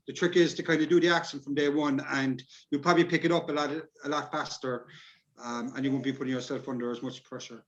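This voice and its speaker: An Irish man speaks quickly in a fairly high voice. He gives informal workshop advice in a rambling, repetitive way, over a slightly dull recording. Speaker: drama workshop tutor.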